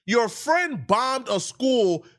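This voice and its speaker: A man with a high voice delivers political commentary. His pitch moves widely as he talks. He is emphatic and mocking, using plenty of slang. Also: very animated, extremely slow, a bit bright.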